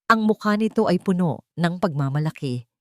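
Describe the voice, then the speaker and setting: A woman narrating a scripted romantic drama story in short, measured, dramatic phrases. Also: on the low side, animated, dry recording, clean studio sound.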